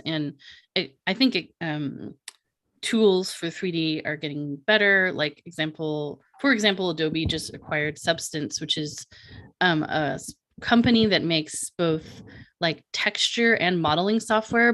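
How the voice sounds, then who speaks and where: A woman presenting her art projects in a relaxed online panel talk, with many fillers and restarts, on a video call mic. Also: low voice. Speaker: artist and presenter.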